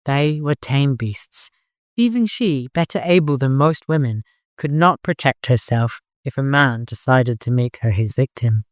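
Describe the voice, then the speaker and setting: A narrator reads dramatic fiction for an audiobook. The voice sits at a middle pitch. The recording is dry, with no room sound. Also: animated, a little slow, no room sound.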